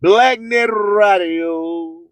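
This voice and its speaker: A man's voice: high voice, extremely slow, clean tone, no room sound. An American man hosting a radio show, preaching spiritual motivation in a declamatory, repetitive, rhyming delivery. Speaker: radio host.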